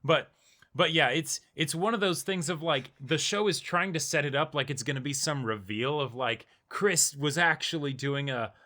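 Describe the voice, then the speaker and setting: A man co-hosting a reaction show, chatting casually and in an animated, rambling way about an episode. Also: on the high side, normal speed. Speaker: co-host of an anime reaction show. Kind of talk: casual discussion of an anime episode.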